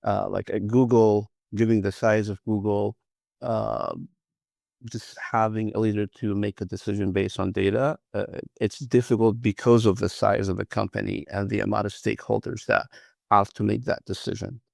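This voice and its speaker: A middle-aged man from Iraq answers interview questions, often stalling on fillers and restarting his sentences. His voice sits low and has a gravelly fry. The recording is close and dry, with no room sound. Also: flat delivery, normal speed, a little mellow, dry recording. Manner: hesitant, many fillers and restarts. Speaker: guest, analytics professional.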